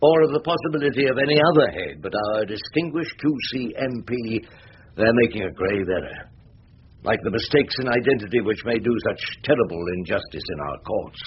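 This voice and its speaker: An actor playing a wry, rumpled older English barrister in a radio drama, with an orotund, ironic, rhetorical way of talking. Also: male voice, mid-range voice, animated, brisk, natural voice. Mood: grandiose oratory. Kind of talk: BBC radio drama acting and first-person narration. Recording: dull, noisy old radio recording.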